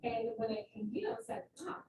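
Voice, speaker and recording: A woman moderates a live panel with a slightly high, gravelly voice. She talks in a conversational, emphatic way. The recording is distant and roomy, with clear room noise behind her. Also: sing-song, fairly quick. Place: event hall.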